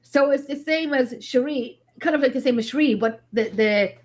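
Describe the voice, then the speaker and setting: A woman with a fairly high voice talks informally in a group conversation. It is loose, everyday chat with no script. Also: normal speed. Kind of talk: casual chat.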